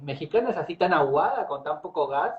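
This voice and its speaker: A man's voice: a little high, animated, fairly quick, dull audio, a little hiss or hum. A man hosting a casual live-stream interview, chatty and rambling, often repeating his words. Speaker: host. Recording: loud music behind.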